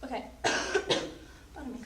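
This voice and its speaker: A young woman in a paramedic practical exam, calmly talking a patient through each step while losing her voice. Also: slow pace. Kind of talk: narrating a clinical skills demonstration. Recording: echoey, distant, noisy room.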